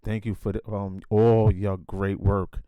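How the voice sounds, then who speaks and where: A man's voice: on the low side, little pitch movement, measured pace, clean tone, no room sound, narrow, tinny audio. A man hosting a podcast, giving a hyped introduction and then asking questions with many fillers and restarts. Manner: emphatic hype intro. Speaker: podcast host. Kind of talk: casual interview chat.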